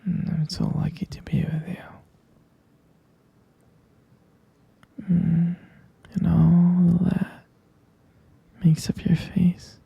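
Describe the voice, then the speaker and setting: A man playing a tender, adoring boyfriend in an intimate romantic ASMR roleplay, whispering softly, gently and hesitantly. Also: sits high, completely flat delivery, slow pace, dry recording, lo-fi sound, heavily acted. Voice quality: soft, breathy whisper with gravelly creak.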